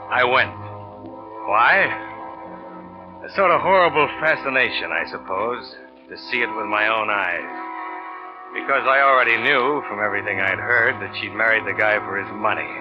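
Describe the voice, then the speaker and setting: A man's voice: lowish voice, natural voice. An actor playing a bitter, sardonic, world-weary man back from war, in a 1940s radio suspense drama. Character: bitter, jilted young war returnee confessing to murder. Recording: muffled old radio recording with music.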